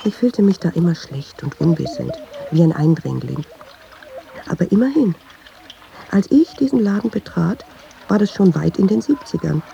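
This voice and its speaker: Gravel-voiced, very dark brooding woman. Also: on the low side, expressive, normal speed. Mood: reflective, uneasy. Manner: reflective, short clipped sentences, musing. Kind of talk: literary radio play monologue and dialogue. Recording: dull sound, faint noise.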